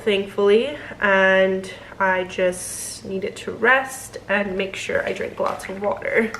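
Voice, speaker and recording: A woman's voice: medium pitch, fairly bright tone, echoey room, a little hiss or hum, rough recording. A young woman, a flight attendant, chatting casually to camera with a stuffy nose as she gets over being sick, with lots of fillers and restarts. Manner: chatty, filler-heavy, self-correcting. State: stuffy, getting over illness.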